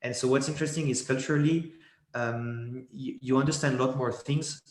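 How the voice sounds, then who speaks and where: A man's voice: mid-range voice, fairly even intonation, moderate pace. A young man, a guest in a casual interview, talking in a rambling way with many restarts and fillers. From Switzerland. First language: French. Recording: echoey room.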